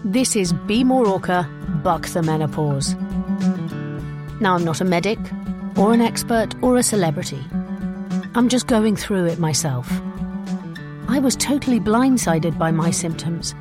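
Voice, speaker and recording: A woman hosting a podcast, chatting warmly with guests on a video call and laughing easily. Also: on the low side, lively intonation, measured pace, long pauses, music in the background. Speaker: podcast host. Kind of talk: podcast intro and group chat over video call.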